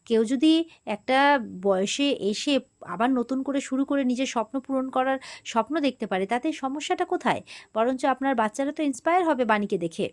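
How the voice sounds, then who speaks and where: A woman's voice: high voice, lively intonation, a little fast. A woman storyteller voicing a supportive man in a serial recap, reasoned and encouraging.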